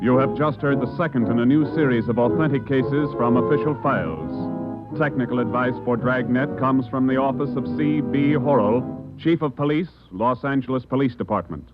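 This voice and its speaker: A male network announcer giving the credits in crisp, formal 1940s broadcast style. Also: medium pitch, normal speed. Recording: dull old radio broadcast with loud music.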